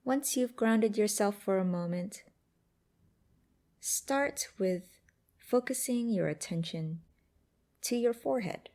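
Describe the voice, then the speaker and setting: A woman guiding a mindfulness exercise in a gentle, unhurried, instructive way with pauses between phrases. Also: medium pitch. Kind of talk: guided meditation narration.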